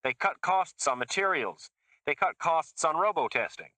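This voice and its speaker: A man's voice: a little high, animated, moderate pace, pushed and bright, dry recording, pitched above the performer's own voice. Brief: narrator playing a rattled male driver, raised and strained, pleading then griping. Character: panicky, bitter male air car driver.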